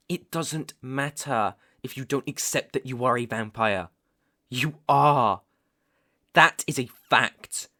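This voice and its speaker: Guy playing a stern british vampire hunter, asmr roleplay, slow n deliberate, threatening but kinda protective, sighs a lot. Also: mid-range voice, sharp tone. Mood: stern, insistent. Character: stern young male vampire hunter, menacing yet reluctantly protective.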